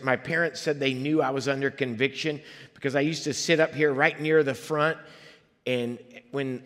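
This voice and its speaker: A man's voice: medium pitch, a bit bright, big-room sound. A man who grew up in Liberia, a guest preacher telling his own testimony in a church sanctuary, earnest and conversational with asides to the congregation. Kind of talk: personal testimony in a sermon.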